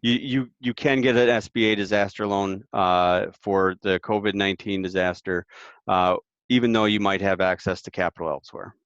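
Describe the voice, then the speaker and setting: Smooth, low-voiced man answering questions. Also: fairly even intonation, fairly bright tone, dry recording. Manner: halting, careful explanations. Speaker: expert panelist answering questions. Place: online webinar call. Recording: slightly dull online call audio.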